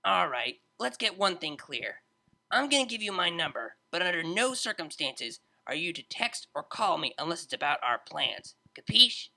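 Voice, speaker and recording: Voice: medium pitch, big pitch swings, moderate pace, gravelly, pushed and bright, heavily acted, low-quality recording. A narrator voicing a brash, sarcastic young female unicorn DJ who talks in a blunt, slangy way. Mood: blunt, irritated.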